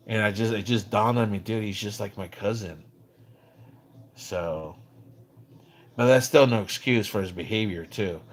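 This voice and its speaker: A man livestreaming in a casual, slangy way, rambling conversationally as he tells stories about people. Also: on the low side. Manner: acts out quoted dialogue when recounting. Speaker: live streamer. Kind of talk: casual livestream chat about another streamer.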